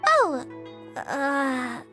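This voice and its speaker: A woman's voice: high voice, huge pitch swings, extremely slow, heavily acted. A woman voicing an earnest, sensitive young girl in a video game, polite and hesitant in her way of talking. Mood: hesitant, thoughtful. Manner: hesitant, soft-hearted, earnest. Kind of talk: scripted video game dialogue. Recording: background music under voice.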